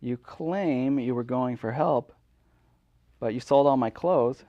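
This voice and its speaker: A man co-hosting a comedy show in casual banter, with a deadpan, mock-aggrieved way of talking. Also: mid-range voice, slow, no echo. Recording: dull lo-fi recording.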